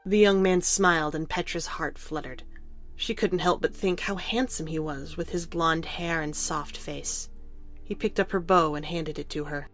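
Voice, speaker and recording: A woman's voice: deep voice, fairly even intonation, moderate pace, faint music behind. A woman reading scripted storybook narration as the narrator of an audio drama.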